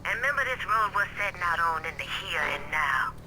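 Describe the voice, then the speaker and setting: A performer playing a proud, wary gang leader in a video game cutscene, forceful and solemn. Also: mid-range voice, expressive, a little fast, background noise, lightly acted. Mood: stern, demanding.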